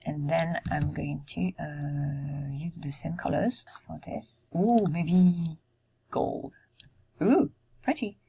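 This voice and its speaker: A woman giving casual commentary while building in a life-simulation game, musing aloud with many ums and short pauses, her voice going hoarse. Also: sits low, mellow. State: losing her voice. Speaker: Sims content creator.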